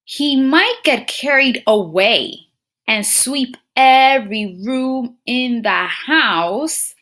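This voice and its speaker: A woman teacher reading a picture book aloud to young children, expressive and often pausing to put questions to her listeners. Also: high voice. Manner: expressive, addresses listeners as friends and scholars, checking in with questions.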